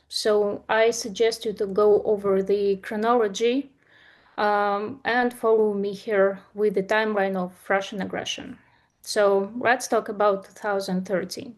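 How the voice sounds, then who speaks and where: Ukrainian-accented woman giving a talk. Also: medium pitch. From Eastern Ukraine. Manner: earnest, with frequent uh and um pauses. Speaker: speaker presenting a talk. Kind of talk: presentation to an online audience.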